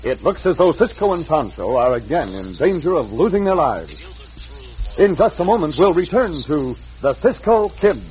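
Guy narrating old radio drama, lively, big swings, faint music, muffled. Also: on the high side, normal speed. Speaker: narrator and announcer. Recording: dull old radio recording.

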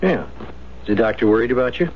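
A man's voice: mid-range voice, animated, fast, close to an everyday voice. Man acting a sardonic, boozy cynic, muffled. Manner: sardonic, theatrical. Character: cynical, boozy male companion, mocking, flippant. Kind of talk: 1970s radio drama acting. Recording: dull, noisy 1970s radio recording.

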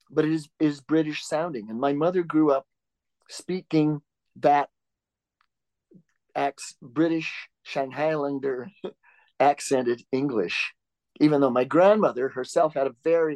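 A man talking through his answers in an interview, pausing and restarting as he finds his words. His voice sits a little high. It is recorded close up in a dry space with almost no room sound. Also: darkish tone, dry recording, low-quality recording. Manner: halting, with frequent restarts and filler words. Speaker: author and interview guest.

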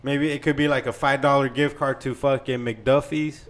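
A man's voice: mid-range voice, steady tone, moderate pace. A man co-hosting a podcast in casual, profane banter, telling jokey stories with lots of swearing. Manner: swears constantly, jokey storytelling. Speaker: podcast co-host.

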